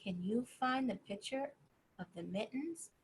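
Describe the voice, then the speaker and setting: Unhurried woman reading a bedtime story. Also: fairly high pitch, lively intonation, slightly rough, low-quality recording. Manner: gentle, encouraging, pauses to ask young listeners questions. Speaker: children's library storyteller. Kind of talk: read-aloud bedtime story for young children.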